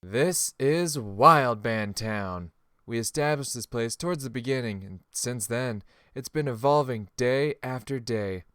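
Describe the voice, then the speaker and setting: A man's voice: medium pitch, unhurried, a bit bright, clear, resonant tone, no echo, clean studio sound, close to an everyday voice. Man playing a casual, cocky seasoned spearman. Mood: welcoming. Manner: casual, folksy, self-assured. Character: friendly, cocky male spearman, seasoned gamer.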